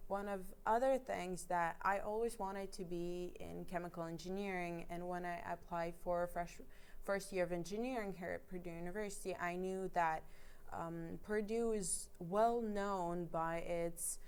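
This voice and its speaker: A woman's voice: on the low side, fairly even intonation, unhurried, slight background noise. A young woman from Russia, an engineering student, answering questions on a panel in an earnest, slightly hesitant way. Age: young adult. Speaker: engineering student, peer coach.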